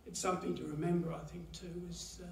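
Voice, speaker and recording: Older man giving a halting eulogy. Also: sits high, level tone, vocal fry, darkish tone, slight background noise. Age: 70s. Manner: halting, many pauses and fillers. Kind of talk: memorial eulogy. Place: funeral chapel, at a lectern. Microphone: lectern mic, heard from a far camera. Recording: roomy chapel echo from far off.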